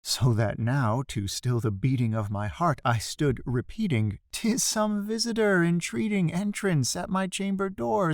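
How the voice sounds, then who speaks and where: A man giving a dramatic, expressive recitation of a classic poem, drawing out emphatic phrases for effect. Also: on the high side, gravelly, no room sound, clean studio sound. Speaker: poetry reader.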